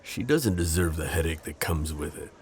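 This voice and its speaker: A man's voice: a bass voice, lively intonation, moderate pace, darkish tone. A man playing a sardonic, protective civilian coworker with something to hide, in an audio roleplay. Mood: defiant, protective. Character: sardonic, protective male civilian coworker hiding a secret identity.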